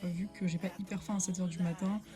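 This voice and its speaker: A woman's voice: fairly low pitch, fairly even intonation, fast talker, warm tone, faint music behind. A woman in her twenties vlogging her week as a finance student, chatting casually with many fillers. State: stuffy with a cold. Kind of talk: casual vlog narration.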